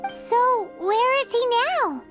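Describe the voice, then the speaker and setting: Very squeaky cheeky little girl companion. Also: animated, slow pace, fairly bright tone, soft background music, heavily acted. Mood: suspicious. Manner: cutesy, theatrical, third-person self-reference. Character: small childlike floating girl companion, cheeky, talkative, refers to herself by name. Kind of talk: video game voice acting.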